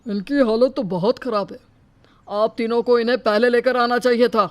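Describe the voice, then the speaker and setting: A woman's voice: fairly high pitch, steady tone, moderate pace, natural voice. Woman voicing a reproachful male doctor. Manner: reproachful, earnest.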